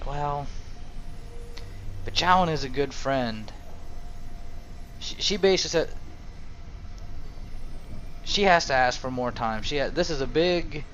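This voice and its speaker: A man's voice: fairly high pitch, takes it slowly, long pauses, fairly bright tone. Guy narrating his game roleplay, improvised and hesitant, muffled lo-fi mic, faint noise behind. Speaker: video game let's player. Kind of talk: role-play narration over gameplay.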